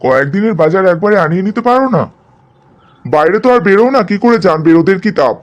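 High-pitched grumbling husband played by a man. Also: expressive, fast talker. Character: grumbling middle-aged Bengali husband of the household. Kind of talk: animated cartoon family drama dubbing.

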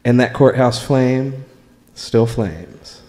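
Slow-paced American man reading in reverberant room. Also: medium pitch, a little mellow. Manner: deliberate, measured, pausing between short phrases. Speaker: spoken word performer reading own writing. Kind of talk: live open mic poetry and story reading. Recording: live recording in a reverberant room.

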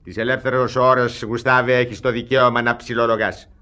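An actor playing an older boss of thieves in a radio comedy, lecturing pompously and stammering in exasperation. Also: male voice, medium pitch, level tone, pushed and bright, clear, resonant tone, natural voice. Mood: pompous, instructing. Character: scheming, blustering older male thief, boss of bungling apprentices. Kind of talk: radio theatre comedy acting.

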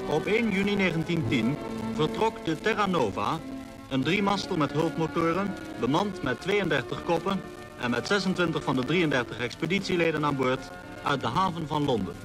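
High-voiced man narrating 1940s radio, over music. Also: lively intonation, moderate pace, poor audio. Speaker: announcer and narrator. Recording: 1940s radio disc recording.

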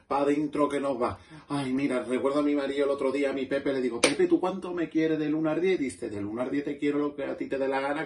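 A man's voice: mid-range voice, darkish tone, echoey room, far from the mic, a little hiss or hum, close to an everyday voice. A man playing a chatty, scatterbrained middle-aged housewife who rambles and loses her thread. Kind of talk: stand-up character comedy.

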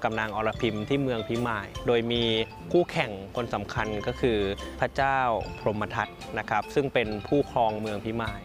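A young man explains things in a polite, formal manner. His voice sits in a middle range. Soft music plays underneath. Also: mid-range voice, steady tone, moderate pace, few pauses. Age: young adult. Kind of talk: explanatory interview talk. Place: outdoors at ancient stone ruins.

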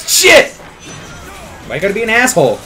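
A man playing a video game and hosting with casual, jokey, sweary banter and excitable outbursts. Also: sits high, brisk, faint music behind. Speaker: video game player and host.

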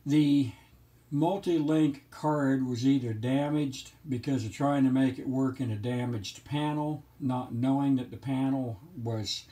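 A man's voice: mid-range voice, mellow. A man narrating an explanatory demonstration in a methodical, matter-of-fact, technical manner. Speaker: hobbyist presenter.

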